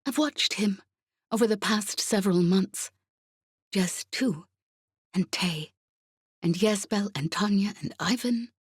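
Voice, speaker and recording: A woman voicing a calm, calculating veteran female spy in an audiobook, speaking softly and breathily with quiet assurance. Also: medium pitch, takes it slowly, long pauses, slightly rough, no echo, studio quality, voice pushed up. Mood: coolly taunting. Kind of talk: audiobook character acting.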